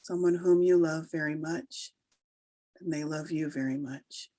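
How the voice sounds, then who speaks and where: A woman leading a guided meditation, giving soothing, unhurried instructions with long pauses between phrases. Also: deep voice, fairly even intonation, warm tone, no room sound. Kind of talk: slow guided meditation narration.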